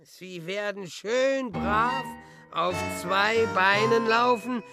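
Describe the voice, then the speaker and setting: An actress in a radio play playing a smug accuser who taunts and bosses a captive. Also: female voice, mid-range voice, drawn-out words, creaky. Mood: mocking, triumphant. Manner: mocking, taunting, self-assured. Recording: loud music over the voice.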